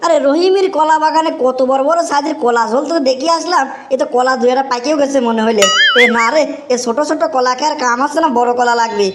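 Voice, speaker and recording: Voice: high voice, fast talker, few pauses, lightly acted. A performer voicing a whiny, greedy young village man in a comic cartoon, exclaiming and repeating in a dialect. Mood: grumbling, scheming. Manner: exclamatory, whining, repetitive. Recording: roomy echo, faint background noise.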